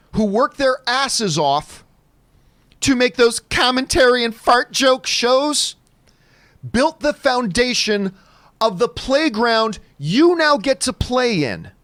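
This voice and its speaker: A man delivering an emphatic opinion rant as an online commentator, indignant and deliberate in his delivery. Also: extremely high pitch, fairly bright tone, dry recording. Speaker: YouTube commentator.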